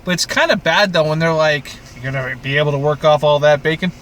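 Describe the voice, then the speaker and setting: A man's voice: a little high, quick pace, fairly bright tone, a little hiss or hum. A man from Canada co-hosting a podcast, chatting casually about TV in an exasperated, sweary, ranting way. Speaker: podcast co-host. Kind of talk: casual TV recap chat.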